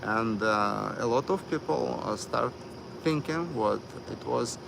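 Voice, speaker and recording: A man hosting a live stream, talking slowly with many fillers and broken grammar. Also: medium pitch, gravelly, background noise. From United States. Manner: hesitant, many fillers, non-native grammar. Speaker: livestream host.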